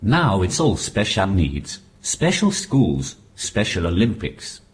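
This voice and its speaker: A man's voice: low voice, wildly swooping pitch, takes it slowly. A man doing stand-up comedy through a synthetic text-to-speech voice. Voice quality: synthetic computer voice. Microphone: stage mic.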